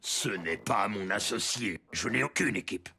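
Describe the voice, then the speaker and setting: A man playing a gruff, boastful superhero cat in a French cartoon dub, with a pompous, dramatic delivery. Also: on the low side, expressive, unhurried. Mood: dismissive. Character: gruff, boastful male lone superhero cat, easily disgusted.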